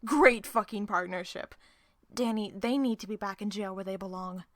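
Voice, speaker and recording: A woman acting in an audio drama as a sharp-tongued, distrustful team member who swears and confronts people. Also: medium pitch, moderate pace, dry recording, close to an everyday voice. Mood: furious, bitter. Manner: profane, confrontational, sarcastic. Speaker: voice actor in an audio roleplay.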